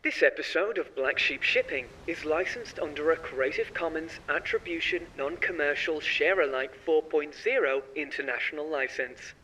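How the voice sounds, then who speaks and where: A man's voice: fairly high pitch, moderate pace, hardly any pauses, far from the mic, lo-fi sound, background noise. A man working as an announcer, reading scripted podcast credits.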